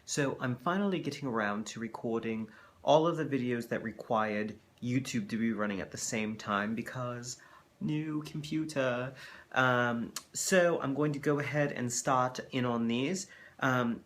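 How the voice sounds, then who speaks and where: A man's voice: medium pitch, steady tone, measured pace, a bit bright, slight background noise. Hesitant, polite man giving reaction commentary. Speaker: host reacting to a recording.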